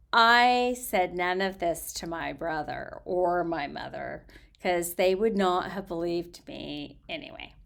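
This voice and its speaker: A woman storyteller reading aloud in an expressive, theatrical way, with deliberate phrasing and hushed emphasis. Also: medium pitch, gravelly.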